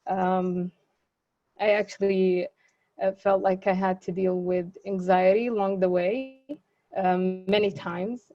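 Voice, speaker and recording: A young woman whose first language is Arabic speaks earnestly and reflectively over a video call. She pauses often on fillers and restarts her sentences. Her voice is mellow and soft-edged, and the audio is slightly muffled. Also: mid-range voice. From Israel. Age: young adult. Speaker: student panelist. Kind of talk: webinar panel talk.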